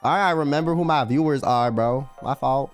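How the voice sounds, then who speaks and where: A man's voice: mid-range voice, brisk. A young man streaming a casual late-night chat, rambling in slang and reading donations aloud. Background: faint background music.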